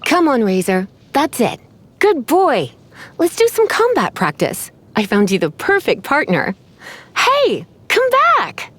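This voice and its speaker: Sultry, teasing sorceress, lilting voice. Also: female voice, fairly high pitch, huge pitch swings, fairly bright tone, slightly rough, full character voice. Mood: playful, coy. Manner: sultry, teasing, unhurried, coy. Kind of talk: video game character voice lines.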